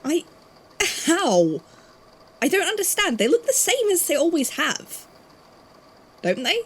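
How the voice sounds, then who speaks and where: A woman playing a guarded, thoughtful investigator in an audio drama, speaking haltingly. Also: high voice, huge pitch swings, heavily acted. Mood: puzzled, uneasy. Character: guarded, puzzled investigator from a supernatural archive.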